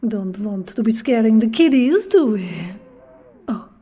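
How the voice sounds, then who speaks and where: Languid theatrical diva, reverberant hall. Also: female voice, fairly high pitch, expressive, a little slow, dark tone. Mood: playful. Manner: languid, teasing, grandly theatrical. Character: theatrical female castle performer, teasing, maternal prima donna. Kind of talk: comedic audio drama acting. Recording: long reverberant castle hall sound.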